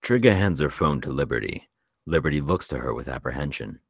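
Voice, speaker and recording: A man's voice: very deep voice, expressive, brisk, dry recording, clean studio sound. A man reading screenplay stage directions as scripted narration for a table read.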